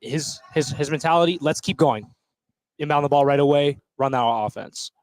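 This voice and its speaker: A man's voice: on the high side, moderate pace, no room sound. A man giving conversational, opinionated color commentary during a live basketball broadcast. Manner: casual, opinionated. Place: indoor school basketball gym.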